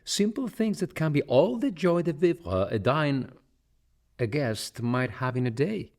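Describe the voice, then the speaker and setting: A man's voice: on the high side, lively intonation, measured pace, a little mellow. An actor playing a vain, flirtatious, campy male boss in a radio drama, theatrical and arch. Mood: arch, grandiose.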